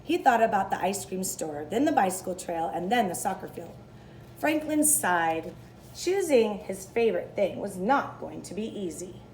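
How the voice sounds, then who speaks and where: A woman reading a children's story aloud in an expressive style. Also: mid-range voice, normal speed, slight background noise, low-quality recording. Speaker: storybook reader.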